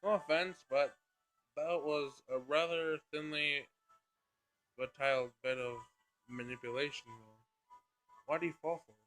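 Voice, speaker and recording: A man with a fairly high voice narrates a story aloud over faint music. His reading is unrehearsed, and he sometimes trips on a phrase and says it again. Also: fairly even intonation, unhurried, pauses often, fairly bright tone, rough recording. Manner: stumbles and re-reads phrases while narrating. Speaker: player reading a visual novel aloud.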